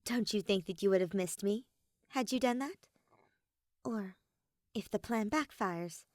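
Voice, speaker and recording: A woman's voice: mid-range voice, unhurried, no room sound, studio quality, voice pushed up, lightly acted. A woman voicing a determined, anxious teenage girl plotter in a story reading, with an earnest, emphatic delivery. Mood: teasing, challenging.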